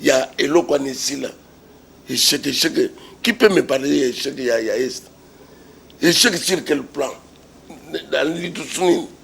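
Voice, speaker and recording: A man's voice: medium pitch, moderate pace, vocal fry, fairly bright tone, breathy edge. A man with a Congolese accent arguing politics in an interview, emphatic and repetitive, full of rhetorical questions. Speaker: political commentator being interviewed. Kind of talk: impassioned political argument in an interview.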